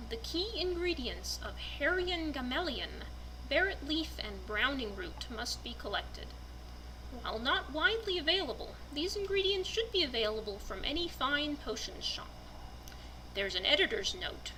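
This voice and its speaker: A woman giving a deliberate, storybook-like dramatic reading of a written fantasy text. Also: high-pitched, very animated, noisy background. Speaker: reader presenting a fantasy book.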